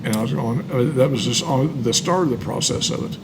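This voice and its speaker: A man's voice: mid-range voice, a little fast, noisy background. An American man reading aloud and sharing personal testimony, emphatic and repeating phrases for weight. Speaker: co-host reading and commenting on a recovery book.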